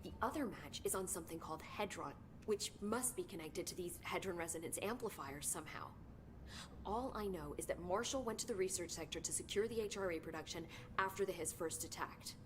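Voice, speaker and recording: An actress playing an eager researcher in a video game, explaining findings in a brisk, informative way. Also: female voice, lowish voice, natural voice. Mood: curious. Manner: brisk, informative, slightly excited. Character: eager female bureau researcher, brisk and curious. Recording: game audio with clear noise.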